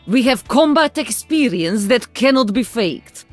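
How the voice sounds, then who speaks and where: A woman's voice: fairly high pitch, expressive, a little slow, soft background music. An actress voicing a proud, confident, tough female soldier in a video game, firm and assured. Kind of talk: video game character voice lines.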